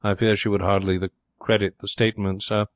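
A man's voice: on the low side, flat delivery, no room sound, lightly acted. Man playing an unctuous, scheming butler. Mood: smooth insinuation. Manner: unctuous, drawn-out, formally polite. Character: unctuous, scheming male butler, deferential and poker-faced.

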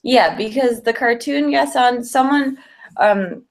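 A woman's voice: a little high, takes it slowly. A woman co-hosting a podcast, chatting casually and enthusiastically about films, peppering her sentences with "like". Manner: enthusiastic, informal, heavy use of "like". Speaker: podcast co-host.

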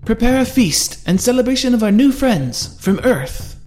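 A man doing a booming old king. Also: very animated, moderate pace, a little mellow, soft background music. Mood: astonished. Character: regal old bearded male king, commanding.

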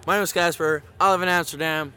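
Man, relaxed and jokey interviewee, bright tone, outdoors. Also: a little high, fast talker, clean tone, soft background music. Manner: laid-back, joking. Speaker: interviewee, rider.

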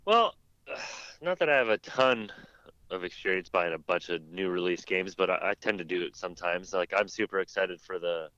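A man chatting casually as a podcast panelist about video games, rambling and haltingly rephrasing himself. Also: low voice, animated, bright, forceful tone, dry recording. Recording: dry, dull lo-fi audio.

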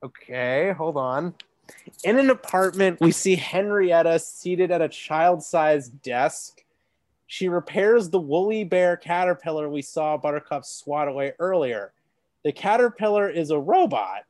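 A man with a deep voice reading stage directions aloud during a comedy script read-through. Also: animated, unhurried, darkish tone, dry recording.

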